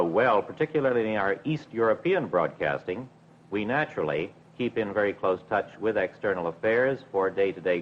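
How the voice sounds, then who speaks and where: A middle-aged man, a broadcasting official, answering in a measured, careful, formal way with some hesitation in a 1950s television interview. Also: low voice. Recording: dull 1950s television recording with hum.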